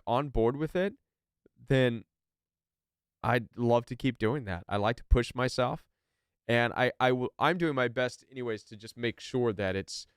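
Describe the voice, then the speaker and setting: A man's voice: medium pitch, clean tone, no echo, studio quality. A man hosting a live stream chat, talking in a rambling way with many restarts and repeated words, and reading viewer comments aloud. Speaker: podcast host.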